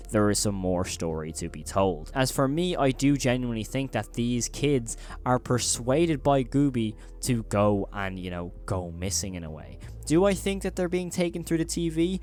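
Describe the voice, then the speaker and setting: A man's voice: medium pitch, lively intonation, moderate pace, hardly any pauses, darkish tone, faint music behind. A man hosting a video in a commentary voice-over, talking in an emphatic, wordy way with repeated phrasing. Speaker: video host.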